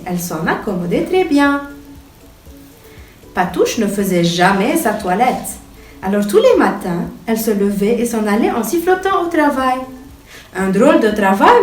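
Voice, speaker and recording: A woman with a high, very lively voice reads a children's story with theatrical flair. She sounds a little way from the mic in an echoey room, with music behind her. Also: far from the mic. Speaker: storyteller reading to a young child. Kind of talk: expressive children's bedtime story reading.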